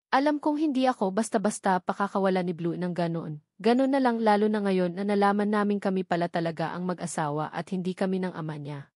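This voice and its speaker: A woman's voice: on the low side, darkish tone, no room sound, clean studio sound. A woman reading a first-person romance novel as a scripted story narration, with acted dialogue. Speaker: audiobook narrator.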